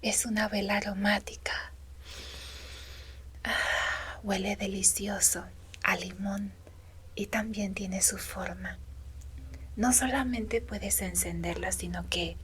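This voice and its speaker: A woman voicing a gentle, comforting female woodland being in a breathy, soothing ASMR roleplay whisper. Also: medium pitch, steady tone, heavily acted. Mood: softly showing gifts. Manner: slow, tender, soothing, reassuring.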